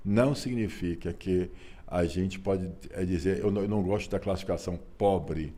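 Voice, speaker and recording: Deep-voiced man in his 60s. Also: moderate pace, mellow. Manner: folksy, repeats phrases, frequent rhetorical tags. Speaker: interview guest, author and businessman. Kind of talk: relaxed interview storytelling.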